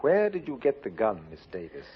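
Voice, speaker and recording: An actor playing a calm, firm police inspector questioning a suspect in a 1950s radio drama. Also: male voice, medium pitch, fairly quick, husky, exaggerated voice. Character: stern male Scotland Yard inspector. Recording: dull old 1950s radio recording.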